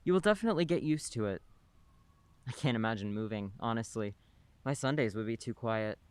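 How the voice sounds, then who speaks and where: An actor playing a kind neighbor who reassures and gently persuades. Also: male voice, mid-range voice, animated, a little fast, clear, resonant tone, dry recording, close to an everyday voice. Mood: reassuring. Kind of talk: radio play acting.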